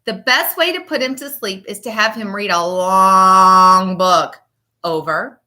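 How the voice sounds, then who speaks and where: A woman teacher reading a children's picture book aloud and chatting to pupils, with expressive, emphatic delivery and deliberate pauses. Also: mid-range voice.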